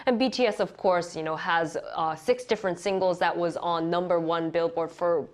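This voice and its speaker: A woman's voice: lowish voice, fairly even intonation, moderate pace. A young woman from Morocco, a panelist talking casually and laughing often on a studio talk show.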